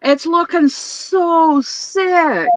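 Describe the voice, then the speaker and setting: A woman's voice: very high-pitched, very slow, no echo, poor audio. A woman hosting a casual live stream while crafting, chatty and giggly, laughing between her rambling phrases. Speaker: livestream host.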